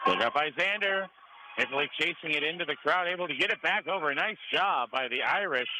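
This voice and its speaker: A man's voice: a little high, expressive, fairly quick. American man calling sports, gym noise behind. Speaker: radio play-by-play commentator. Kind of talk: live volleyball play-by-play commentary. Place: indoor school gym during a match. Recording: noisy gym ambience behind commentary.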